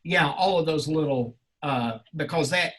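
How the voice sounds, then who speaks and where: A male college instructor talking informally with a class in a halting, rambling, encouraging way. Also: fairly high pitch, a bit bright, low-quality recording. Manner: encouraging, reassuring. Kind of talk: informal class question-and-answer.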